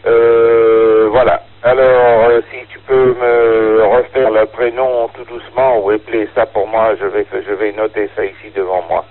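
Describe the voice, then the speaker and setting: An older man talking casually over amateur radio, giving call signs and speaking in a hesitant, halting way. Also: on the low side, little pitch movement. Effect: two-way radio. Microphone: radio transceiver microphone. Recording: narrow, lo-fi two-way radio link.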